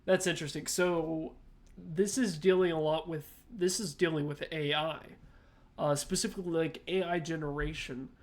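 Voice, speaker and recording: Higher-pitched man giving casual reaction commentary. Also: steady tone. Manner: thinking aloud, halting, piecemeal phrasing. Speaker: reaction video host.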